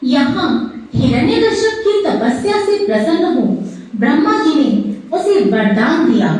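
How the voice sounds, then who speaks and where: High, echoing woman storyteller. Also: a little mellow. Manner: dramatic, deliberate delivery in short phrases. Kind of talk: scripted mythological storytelling in a moral story. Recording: heavily echoing, distant-sounding voice.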